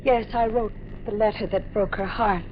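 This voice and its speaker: An actress playing a tormented, haunted wife, with intense, dramatic delivery in 1940s radio drama style. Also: female voice, medium pitch, fairly even intonation, normal speed, slight background noise, natural voice. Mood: pleading. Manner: dramatic, intense, clipped phrases. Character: tormented, haunted wife telling her dark story. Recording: muffled vintage 1940s radio recording.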